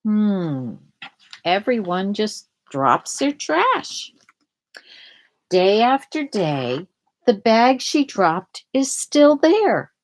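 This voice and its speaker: A woman reads a children's storybook aloud at a slow, deliberate pace. Her voice sits at an average pitch, and she explains words and events simply for young listeners. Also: big pitch swings. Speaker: storybook reader. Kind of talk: read-aloud of a children's picture book with explanatory asides.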